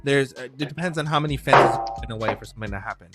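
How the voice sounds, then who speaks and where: A man from California co-hosting a casual crypto livestream, talking in loud, profane, rambling bursts. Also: medium pitch, rapid-fire, loud music behind the voice. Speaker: co-host.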